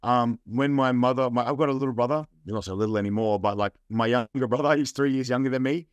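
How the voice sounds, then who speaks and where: A man's voice: mid-range voice, fast talker, clear, resonant tone, no room sound, lo-fi sound. A young adult man with an Australian accent, chatting as a guest in a casual, rambling way with lots of fillers. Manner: laid-back, rambling with fillers. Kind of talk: casual interview.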